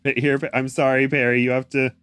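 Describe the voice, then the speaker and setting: A man's voice: medium pitch, level tone, brisk, fairly bright tone, clear, resonant tone. A man co-hosting a podcast in a casual, playful comedic chat, silly and given to exclamations. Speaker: podcast co-host. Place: indoor room.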